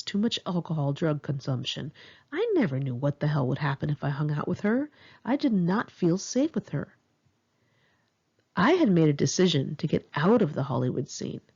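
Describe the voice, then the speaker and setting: American female memoir narrator, lively pitch movement, gravelly edge, confessional delivery, slightly dull home recording. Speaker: author reading own memoir. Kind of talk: audiobook narration of a first-person memoir.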